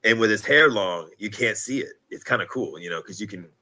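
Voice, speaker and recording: A man in his thirties, hosting a casual podcast conversation, chatty and quick to laugh, acting out little quotes as he talks. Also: fairly low pitch, animated, pushed and bright, low-quality recording. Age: 30s. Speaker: podcast host.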